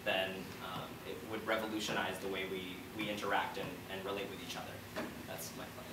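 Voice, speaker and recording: A man's voice: low voice, flat delivery, brisk. A man in his twenties giving a casual answer as a student on a panel. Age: 20s. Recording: very noisy echoey hall, distant mic.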